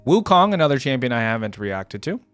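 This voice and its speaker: A man's voice: mid-range voice, very animated, fairly quick, a bit bright, smooth, no echo, soft background music. A man hosting a reaction video, giving casual, slangy, off-the-cuff commentary. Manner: informal, slangy.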